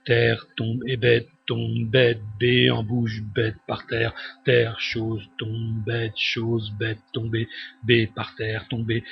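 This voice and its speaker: Man, spoken-word litany, very flat monotone, gravelly creak, clipped repeated fragments, dull lo-fi sound. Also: medium pitch, slow pace, faint music behind. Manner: repetitive fragmented short phrases, monotone chant. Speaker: poet reciting his own text. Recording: dull lo-fi audio with faint noise.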